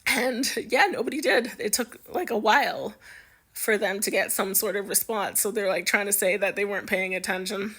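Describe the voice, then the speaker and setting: A woman's voice: medium pitch, moderate pace, fairly bright tone, husky. Casual, chatty woman commenting on news. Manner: amused, conversational, musing aloud.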